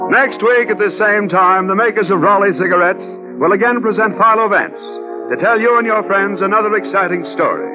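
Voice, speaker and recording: A man's voice: sits high, brisk. An American man announcing ads and sign-offs on 1940s radio, with polished, emphatic salesmanship. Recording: thin, narrow-band 1940s radio recording. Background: music.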